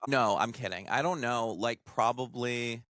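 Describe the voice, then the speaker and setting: A man hosting a podcast while sick, with a raspy voice. He rambles and apologizes in a casual, self-deprecating way over a video call. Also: mid-range voice, a little slow, a bit bright. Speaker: podcast host. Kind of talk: casual chat.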